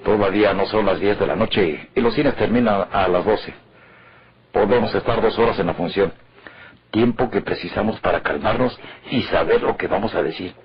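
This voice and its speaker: An actor playing a cold, controlling Mexican killer in an old radionovela, terse and commanding. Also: male voice, fairly low pitch, moderate pace, breathy edge. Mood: calculating. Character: cold, controlling male murderer on the run. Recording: noisy, muffled vintage radio recording.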